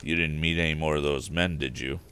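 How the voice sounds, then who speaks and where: A man voicing a genial middle-aged inventor father in a storybook, with a warm, questioning manner. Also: a bass voice, steady tone, brisk, smooth. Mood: genial, curious.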